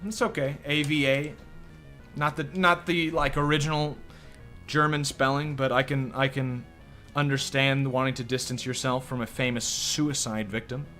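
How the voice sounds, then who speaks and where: A man streaming a video game, chatting loosely and swearing often as he answers his viewers. His voice is bright and forward, with faint game music under it. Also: medium pitch, steady tone, moderate pace. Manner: profane, rambling, reacting to chat. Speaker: let's play streamer. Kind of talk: casual gameplay commentary.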